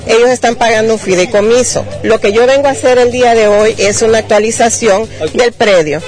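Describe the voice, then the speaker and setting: A woman interviewed outdoors, calmly and firmly defending her claim in detail. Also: fairly high pitch, fairly quick, faint music behind. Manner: firm, detailed, self-defending. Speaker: landowner's granddaughter. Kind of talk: street news interview.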